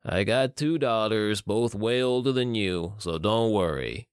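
A man voicing a gruff, businesslike older criminal for an audiobook, with a curt, commanding drawl. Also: fairly low pitch, lively intonation, measured pace, no room sound, clean studio sound. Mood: calm, commanding.

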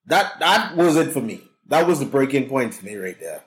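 A man's voice: medium pitch, sing-song, normal speed. A talkative, boisterous man from the Bahamas with a Bahamian accent, telling his story as a guest in a casual interview. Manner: boisterous, talkative, repeats words. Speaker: guest, cannabis consultant.

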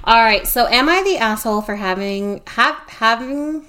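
A young woman co-hosting a podcast, agreeing warmly with her co-host and reading forum posts aloud with small stumbles. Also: on the high side, normal speed.

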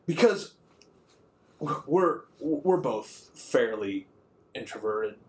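Man, around thirty, podcast co-host, casual, rambling, medium pitch. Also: lively intonation, slow pace, a little mellow, slight background noise. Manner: rambling, conversational. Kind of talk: casual chat.

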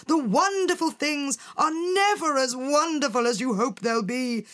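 A woman as a gloomy, lamenting male philosopher, high-voiced. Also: measured pace, fairly bright tone. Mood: gloomy, warning. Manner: lamenting, declamatory. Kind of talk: children's storybook character voice.

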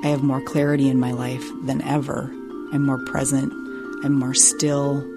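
A woman with a creaky voice gives a heartfelt testimonial in effusive, casual words. Her pitch stays quite flat, and loud vibraphone music plays behind her. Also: moderate pace. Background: prominent music with vibraphone.

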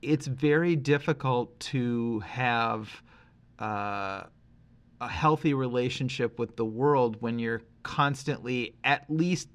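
Man, middle-aged, mid-pitched, casual podcast discussion, halting and thoughtful delivery. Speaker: podcast co-host.

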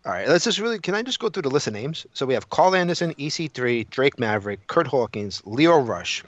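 A man's voice: mid-range voice, very animated, a little fast, no echo, lo-fi sound. A man in casual, opinionated podcast discussion, emphatic and repetitive, often throwing out rhetorical questions. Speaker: podcast co-host.

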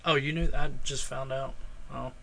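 A man's voice: medium pitch, fairly even intonation, moderate pace, creaky, fairly bright tone. A man hosting a casual podcast, talking in a crude, opinionated way and swearing freely. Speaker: podcast host.

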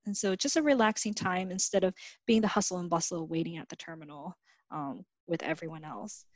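A woman born in Vietnam, a guest in an interview, talking in a relaxed, reflective way with many fillers. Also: on the low side, a little fast, dry recording. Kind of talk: casual interview conversation.

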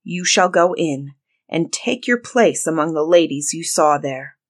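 A woman voicing a stern, murderous, commanding husband in a fairy tale, speaking deliberately and emphatically. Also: deep voice, expressive. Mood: cold menace. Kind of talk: dramatized fairy-tale storybook reading.

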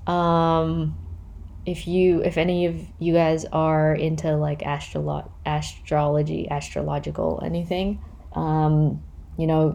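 A woman's voice: sits low, vocal fry, mellow. A woman of thirty with a Canadian accent, hosting a podcast and answering questions in a candid, reflective, casual way with some hesitation. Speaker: podcast host.

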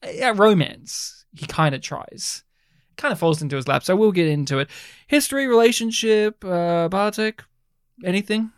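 Playful Aussie co-host, rambling, a bit high, clean and close. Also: male voice, animated, normal speed, clean tone, no room sound. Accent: Australian. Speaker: podcast co-host. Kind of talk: casual podcast chat.

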